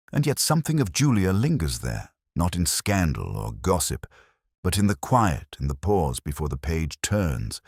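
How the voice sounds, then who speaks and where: A man playing a melancholy older English police inspector, delivering a measured, solemn, literary monologue in a radio drama. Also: very deep voice, slightly rough, no echo, clean studio sound, exaggerated voice. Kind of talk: scripted first-person radio drama monologue.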